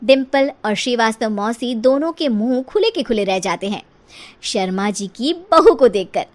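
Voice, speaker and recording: A woman narrator reading a scripted comic story for an animated video. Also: a little high, normal speed.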